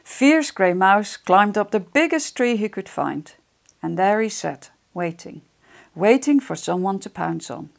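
Slow, expressive middle-aged woman storyteller. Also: medium pitch, vocal fry. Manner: expressive, deliberate, addressing children directly. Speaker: author reading her picture book. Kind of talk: storytelling for young children. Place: small wood-panelled room indoors. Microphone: lapel microphone.

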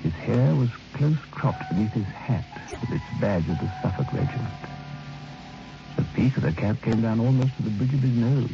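An actor playing a brooding man who narrates a dark radio drama in grave, literary, measured phrases. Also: mid-range voice, gravelly, close to an everyday voice. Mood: horrified. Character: brooding male first-person narrator of a dark tale. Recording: dull old radio recording with music.

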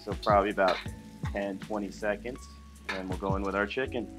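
Young dude doing a cooking vlog, kinda low voice, easygoing, music going in the background. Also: male voice, a little fast. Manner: relaxed, chatty instructional talk to viewers. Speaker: cooking vlogger. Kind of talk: casual cooking demonstration. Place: small indoor studio kitchen set. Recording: clear background music under voice. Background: music playing under the voice.

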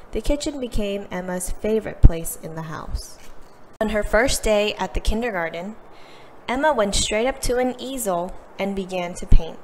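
A woman's voice: medium pitch, big-room sound. A woman reads a children's picture book aloud expressively, acting out the characters' lines. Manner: animated delivery, acting out the characters' quoted lines. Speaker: storybook reader. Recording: clearly noisy background.

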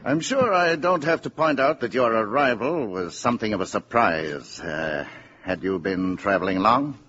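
An actor playing a polite, careful American presidential secretary with hesitant diplomatic manners in a 1950s radio drama. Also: male voice, lowish voice, animated, moderate pace, a bit bright, slight background noise. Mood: courteous, careful. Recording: muffled 1950s radio broadcast.

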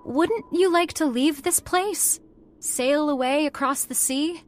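An actress playing an earnest, headstrong factory heiress in a radio horror drama, with an emphatic, theatrical delivery. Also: female voice, high voice, slow pace. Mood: coaxing, pleading. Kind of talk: old-time radio horror drama acting.

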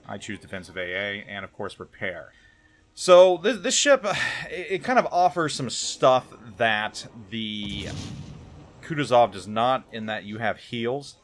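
A man's voice: mid-range voice, a bit bright. A man giving casual gameplay commentary as a game reviewer, rambling and hesitant, often repeating words.

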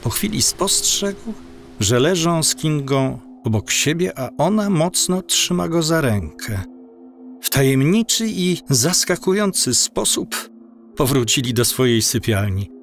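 A man's voice: on the high side, big pitch swings, unhurried, soft background music. A man narrating a scripted audio drama as its storyteller.

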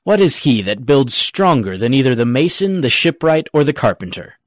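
Cocky country gravedigger voiced by a man, Shakespeare audio drama, mid-pitched, mock-learned and jokey, very dry sound. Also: big pitch swings, normal speed, no echo, close to an everyday voice. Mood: teasing, cocky. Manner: pedantic, jokey mock-logical argument. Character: cocky, quibbling rustic gravedigger clown, a grown man.

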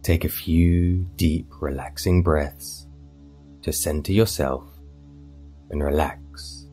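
A man narrating a scripted guided meditation in a soothing, hypnotic, measured way with long pauses. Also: rumbling low, fairly even intonation. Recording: voice over meditation music. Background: soft meditation music.

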